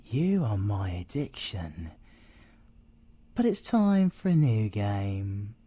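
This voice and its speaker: A British man playing a possessive, scheming siren in an ASMR audio roleplay, seductive and theatrical, with drawn-out words and whispers. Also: lowish voice, very animated, dark tone, exaggerated voice. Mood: obsessive, gloating. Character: possessive, scheming male siren, obsessive lover and villain.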